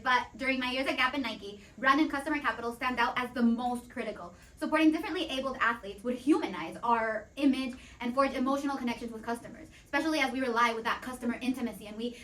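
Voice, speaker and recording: A woman's voice: a little high, fast, hardly any pauses, a bit bright, slight background noise. A woman delivering a prepared persuasive argument on a debate panel, earnest and formal. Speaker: debate panelist playing an executive.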